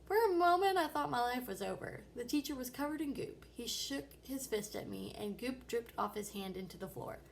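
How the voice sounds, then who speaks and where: A woman's voice: on the high side, lively intonation, moderate pace, vocal fry, hardly any pauses, a little hiss or hum. A woman voicing a kindly, reassuring father telling patient anecdotes in a children's storybook. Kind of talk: children's storybook read-aloud.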